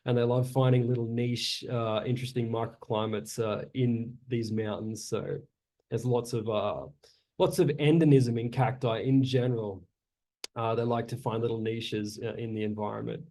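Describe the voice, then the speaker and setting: Relaxed Australian man presenting. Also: mid-range voice. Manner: relaxed, chatty with frequent uhs. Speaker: guest speaker. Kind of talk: presentation over video call. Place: home in Chile.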